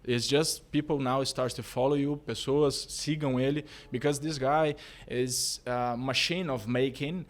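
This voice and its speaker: A man with a Brazilian Portuguese accent, a native Portuguese speaker, hosting a casual podcast interview in informal, halting English. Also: mid-range voice, steady tone. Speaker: podcast host.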